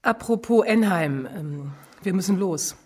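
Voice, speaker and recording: An actress playing a brisk, inquisitive junior police detective in a radio crime drama. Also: female voice, fairly low pitch, expressive, darkish tone, slightly rough. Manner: brisk, questioning.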